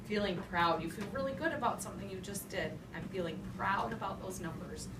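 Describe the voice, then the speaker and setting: A woman's voice: medium pitch, a little fast. A woman teacher reading aloud to young children and giving them instructions, with simple repeated phrases and emphasized key words. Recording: echoey, noisy classroom, far microphone. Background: young children talking, room noise.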